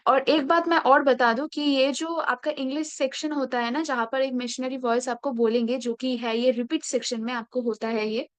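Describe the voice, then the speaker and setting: High-voiced woman tutor, dry close sound. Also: fairly even intonation, moderate pace, few pauses, dry recording. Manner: mixes English phrases into Hindi, repeats "okay?" and "ठीक है?". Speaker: placement exam tutor. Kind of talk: casual explanatory tutorial.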